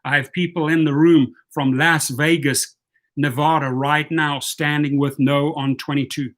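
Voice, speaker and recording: A man's voice: on the high side, level tone, measured pace, a bit bright. Rallying South African-accented man. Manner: rallying, calls out long lists of cities; reads viewer comments aloud. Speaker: channel host. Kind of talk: livestream call to action.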